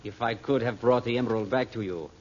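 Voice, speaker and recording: An actor playing a proud, earnest young Bedouin chief who speaks in formal, solemn, stilted foreign phrasing in an old radio drama. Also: male voice, medium pitch, quick pace, natural voice. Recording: muffled old radio recording, background hiss.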